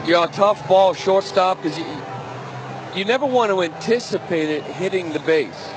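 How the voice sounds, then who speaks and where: A man's voice: sits high, normal speed. An American man giving conversational, explanatory analysis as a colour commentator on a live baseball broadcast. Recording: live broadcast with loud background noise.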